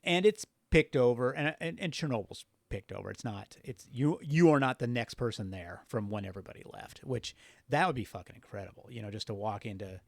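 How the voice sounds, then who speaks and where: A man's voice: mid-range voice, brisk, gravelly, no echo, studio quality. A man telling stories in loose, casual conversation, unfiltered and freely swearing. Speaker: podcast guest. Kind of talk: casual, rambling conversational storytelling.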